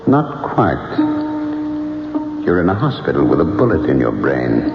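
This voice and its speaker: An actor playing a sardonic, otherworldly bargainer who coolly taunts, in a radio drama. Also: male voice, very deep voice, big pitch swings, a little slow, creaky, exaggerated voice. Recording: muffled radio, reverberant, loud music behind.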